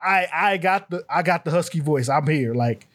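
A man co-hosting a casual music podcast, animated and laughing often as he acts out quotes. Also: on the high side, normal speed. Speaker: podcast co-host. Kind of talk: casual chat.

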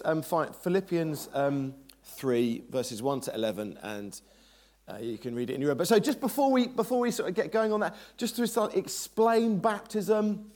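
A man's voice: on the high side, darkish tone. Chatty male preacher joking with congregation. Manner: chatty, self-deprecating, jokes with the congregation. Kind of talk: informal church sermon introduction. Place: church service.

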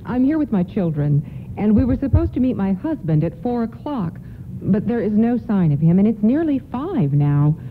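A woman's voice: mid-range voice, very animated, normal speed, close to an everyday voice. A woman playing a polite, anxious mother of two in a radio play. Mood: worried. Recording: muffled phone-like old radio broadcast, faint noise.

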